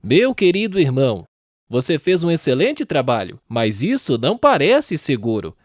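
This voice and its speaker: A man's voice: fairly high pitch, wildly swooping pitch, moderate pace, no room sound, clean studio sound. A man voicing a cautious, earnest elder pig brother in a children's cartoon. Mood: cautious, concerned. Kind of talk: children's cartoon character acting.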